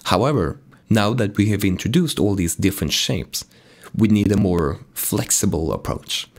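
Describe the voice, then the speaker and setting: A man with a raspy voice from being sick, calmly explaining code in a tutorial walkthrough. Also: lowish voice, moderate pace. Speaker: game dev tutorial host. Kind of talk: screen-recorded coding tutorial narration.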